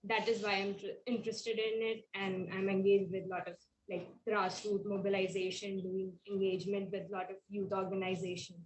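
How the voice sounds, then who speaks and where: A woman's voice: medium pitch, little pitch movement, brisk, hardly any pauses. A woman panelist introducing herself in a panel discussion, hesitant with many fillers and restarts. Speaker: panelist, program manager. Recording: echoey room with faint noise.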